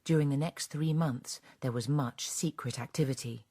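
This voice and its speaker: A woman narrating a scripted radio drama in a measured storytelling manner. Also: a bass voice, dark tone, breathy edge.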